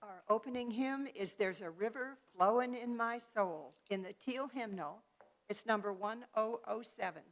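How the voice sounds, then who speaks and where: A woman leading a worship service, reading and announcing in a measured, ceremonial way. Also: fairly high pitch. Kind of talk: liturgical reading and announcements to a congregation.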